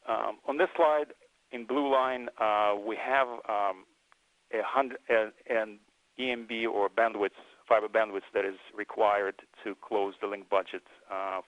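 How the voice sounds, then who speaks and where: A man with a fairly low voice gives a technical presentation in a halting, filler-heavy way. He sounds like an engineer explaining specifications step by step. The recording is dull and dry, with little room sound. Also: fairly bright tone, no room sound. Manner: halting, hesitant delivery with frequent fillers.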